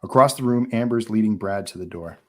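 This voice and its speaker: A man with a fairly low voice narrates a screenplay at a table read. He reads the action in an informal, animated style. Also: fairly quick. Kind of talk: reading screenplay action and dialogue aloud.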